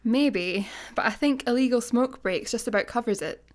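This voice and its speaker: A woman as a high-voiced, blunt spacewoman. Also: lightly acted. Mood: weary. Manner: brisk, blunt, no-nonsense. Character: brisk, exasperated female space satellite worker. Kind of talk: sci-fi comedy radio play acting.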